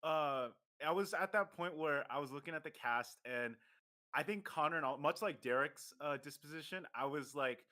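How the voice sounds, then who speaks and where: A man's voice: medium pitch, bright, forceful tone. A man voice actor telling a casual story in a wry, joking way. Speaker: voice actor on a cast panel. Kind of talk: casual livestream chat.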